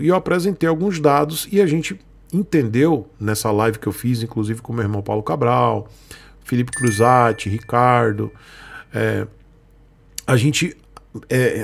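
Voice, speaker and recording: A man's voice: on the high side, creaky, breathy edge. A man, hosting his motorcycle channel and explaining market news in a chatty way, often checking in with his viewers, from a small indoor room at a desk. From Northeast Brazil. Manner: chatty, explanatory, frequent "tá, gente?" asides. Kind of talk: casual market commentary.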